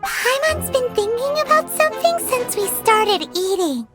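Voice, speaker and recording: A woman voicing a tiny, childlike fairy companion in a video game, cheeky and squeaky. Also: expressive, measured pace. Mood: puzzled, curious. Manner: speaks of self in third person. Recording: music playing behind the voice.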